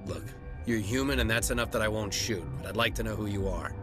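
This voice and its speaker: An actor playing a weary, gruff security captain in a video game, plain-spoken and wry. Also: male voice, lowish voice, fast talker, lightly acted. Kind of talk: scripted video game dialogue. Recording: game music under the voice.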